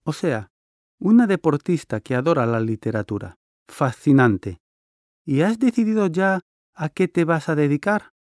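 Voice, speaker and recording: A friendly interviewer , played by a man, who asks curious, polite questions. His voice sits a little high for a man and moves around a lot in pitch. The studio sound is dry with no room echo. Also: animated, normal speed, darkish tone, lightly acted. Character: friendly adult male interviewer. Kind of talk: scripted dialogue for a listening test.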